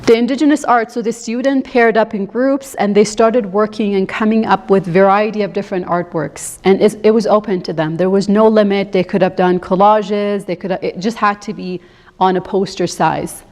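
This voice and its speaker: A woman's voice: mid-range voice, steady tone, moderate pace, a little mellow. A woman from Canada, born in Iran, giving a reflective, informal conference presentation as an instructor explaining student work. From grew up in Canada, born in Iran. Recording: echoey hall, long reverb.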